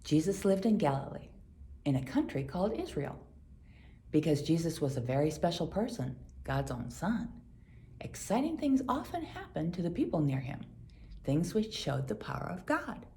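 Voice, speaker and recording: Low-voiced woman reading a children's story expressively. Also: big pitch swings, normal speed, dark tone. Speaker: storybook reader. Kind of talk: scripted children's Bible story read aloud.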